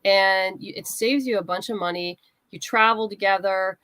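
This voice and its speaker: A woman's voice: mid-range voice, steady tone, moderate pace, fairly bright tone, no room sound, low-quality recording. Woman with a dry, close studio sound. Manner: practical, conversational advice-giving. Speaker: guest writer and comics creator. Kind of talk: casual interview chat.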